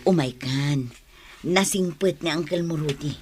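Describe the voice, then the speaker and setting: A woman's voice: low voice, measured pace. A woman acting a careworn, struggling mother with a Filipino accent in a radio drama. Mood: composed, motherly. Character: careworn, struggling mother of a teenage daughter, quarrelling with her husband.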